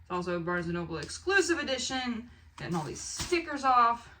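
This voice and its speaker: A woman vlogger chatting casually and excitedly to the camera, animated and rambling with expressive asides. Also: on the high side, a little fast. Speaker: book haul vlogger and fiction author.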